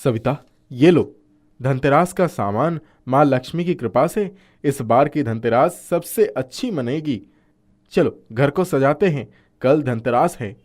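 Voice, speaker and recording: A man voicing a poor, kind-hearted husband in a cartoon moral story, speaking earnestly and gently. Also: medium pitch, expressive, measured pace. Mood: happy. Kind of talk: animated moral story acting.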